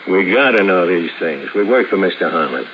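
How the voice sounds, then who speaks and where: A gangster enforcer from a 1950s radio thriller. His low voice stays calm and slangy while he threatens, as if it were plain business. The old recording is narrow and muffled, with faint noise. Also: a little fast. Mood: pressing, controlled. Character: cool, menacing male gangster enforcer, matter-of-fact. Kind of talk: 1950s radio drama acting.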